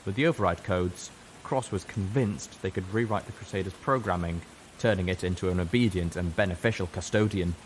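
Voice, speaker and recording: A man's voice: deep voice, fairly quick, a little hiss or hum, poor audio. A man reading a scripted first-person story as a dramatic narrator. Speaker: audiobook reader.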